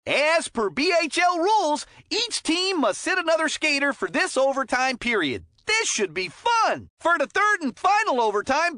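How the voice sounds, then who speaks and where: An actor playing a cheerful, folksy and excitable hockey commentator in a kids' video game, chatty and eager. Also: sits high, dry recording, clean studio sound, exaggerated voice. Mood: brisk, explanatory. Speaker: sports commentator. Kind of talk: animated sports game commentary.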